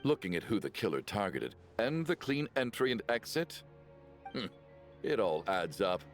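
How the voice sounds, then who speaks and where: A man's voice: fairly low pitch, very animated, normal speed. An actor playing a self-important, jumpy wealthy man in a video game, theatrical and insistent, pressing others with questions. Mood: ominous deduction. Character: panicky, accusatory, self-important wealthy man under threat. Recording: music behind the voice. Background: music.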